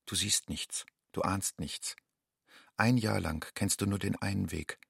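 A man playing a young undocumented student, an anxious outsider, in a measured, introspective radio drama monologue. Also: deep voice, vocal fry, fairly bright tone, husky, no echo, clean studio sound, heavily acted. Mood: wistful, guarded. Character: young illegal immigrant student, anxious, inwardly longing outsider.

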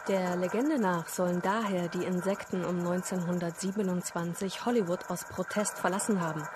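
A woman's voice: fairly low pitch, fairly even intonation, normal speed, noisy background. A woman reading scripted documentary-style narration as a narrator.

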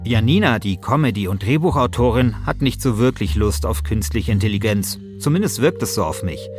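A man's voice: fairly low pitch, animated, brisk, darkish tone, soft background music. A middle-aged man hosting a podcast as a journalist, speaking colloquially in a mix of casual chat and first-person narration.

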